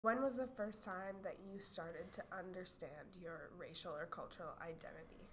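A woman's voice: lowish voice, normal speed, fairly bright tone, a little hiss or hum. A woman asking interview questions in a loose, casual way, with "like" and "uh" fillers.

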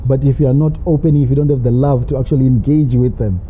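A woman's voice: rumbling low, steady tone, quick pace. A woman guest in an interview, explaining her views at length and posing rhetorical questions. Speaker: literacy advocate guest. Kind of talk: interview answers. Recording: dull lo-fi with faint noise.

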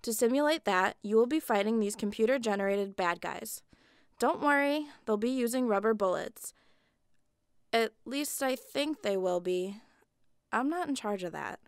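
A woman's voice: on the high side, lively intonation, normal speed, clean tone, dry recording, studio quality. A woman playing a sarcastic, bossy military training instructor in a video game, in a comic audio drama. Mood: sardonic. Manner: sardonic, bossy, condescending.

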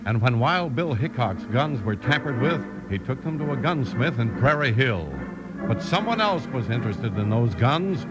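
A man's voice: medium pitch, animated, moderate pace, over loud music, rough recording. A man with an American accent announcing in an emphatic, scripted 1950s radio style. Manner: emphatic, salesmanlike.

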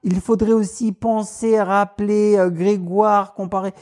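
Mellow-voiced man with a Paris suburban accent. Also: sits high, a little slow. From Paris suburbs, France. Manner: animated, mimics voices in anecdotes, laughs often. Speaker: guest. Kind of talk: casual storytelling.

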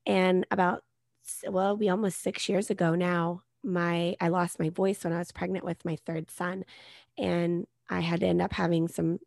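A soft-spoken woman in her thirties with a lowish voice. She talks casually and warmly about her life as a new author. The sound is close and dry. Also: flat delivery, normal speed, vocal fry, dry recording. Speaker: guest author. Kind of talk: casual interview chat.

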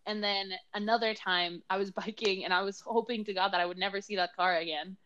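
A woman's voice: medium pitch, brisk, lo-fi sound. A woman in her twenties from Mexico, co-hosting a casual podcast and telling a story with laughs and acted-out voices. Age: 20s. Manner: animated, giggly, acts out voices in stories. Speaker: podcast co-host.

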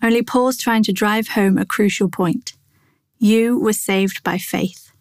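A woman's voice: medium pitch, steady tone, a little slow, dry recording. Woman reading a devotional, close dry sound. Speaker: devotional reader. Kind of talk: scripted devotional narration.

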